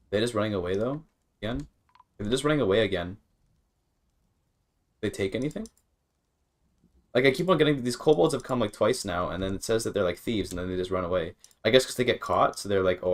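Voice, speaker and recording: A man giving casual live gameplay commentary, rambling and repeating words as he thinks aloud. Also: lowish voice. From Canada. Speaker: game streamer.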